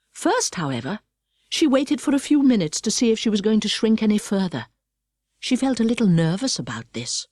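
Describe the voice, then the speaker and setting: Animated British woman storyteller. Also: fairly high pitch, dry recording, clean studio sound. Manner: expressive, precise storyteller. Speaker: audiobook narrator. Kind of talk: storybook narration. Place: dry studio.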